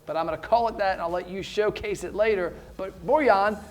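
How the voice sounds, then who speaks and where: A man's voice: high voice, fairly quick. A man hosting a casual interview, introducing his guest with enthusiasm. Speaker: host.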